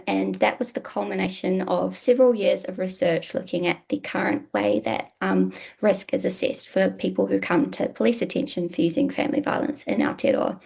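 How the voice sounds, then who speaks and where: A woman with a New Zealand accent, a university lecturer giving an academic presentation in a measured way, with frequent "um" fillers. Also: mid-range voice, gravelly, a little mellow, husky.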